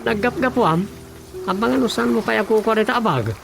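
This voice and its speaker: Creaky-voiced, wary villager played by an actor. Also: mid-range voice, fairly quick, lightly acted. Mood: wary, doubtful. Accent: Ilocano. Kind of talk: Ilocano radio comedy drama acting. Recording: loud music bed behind voice.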